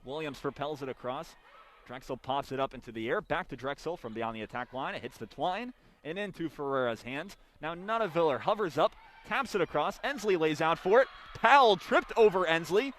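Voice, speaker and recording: A man's voice: fairly high pitch, animated, a little fast, fairly bright tone. A young man calling live volleyball as a radio play-by-play announcer.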